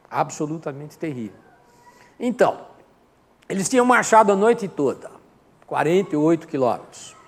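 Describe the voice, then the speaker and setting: An older man with a Brazilian accent speaks as a Sunday school teacher. His voice sits a little high for a man. He explains in an informal, didactic way, asking questions and answering them, and long reverb from the hall surrounds his words. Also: darkish tone. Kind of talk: informal Bible class lecture.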